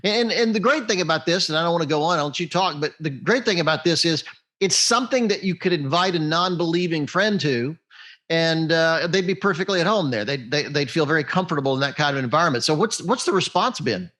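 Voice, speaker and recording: Male interviewer, American, voice a bit higher than average. Chatty, encouraging, conversational. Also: animated, fairly quick, a bit bright. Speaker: interviewer, host.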